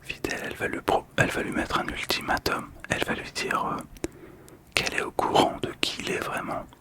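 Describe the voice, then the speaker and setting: Whispering man, soft ASMR storytelling. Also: fairly quick. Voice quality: whispered, breathy. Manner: soft, calm, hesitant. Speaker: host of a relaxation video.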